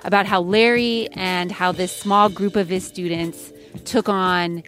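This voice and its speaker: A woman's voice: fairly low pitch, steady tone, measured pace, a bit bright, soft background music. A woman co-hosting a podcast, narrating a reported story and interviewing.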